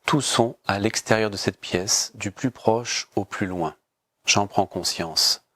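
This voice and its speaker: Male meditation guide, lowish register, calm and measured instructional delivery, dry recording. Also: dry recording. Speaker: yoga nidra teacher.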